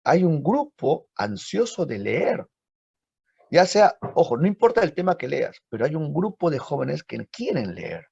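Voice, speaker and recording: Man teaching literature, husky gravelly voice, dry dull lo-fi audio. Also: fairly high pitch, measured pace, dry recording. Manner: lively, asks rhetorical questions, frequent tag "¿no?". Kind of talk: online literature class.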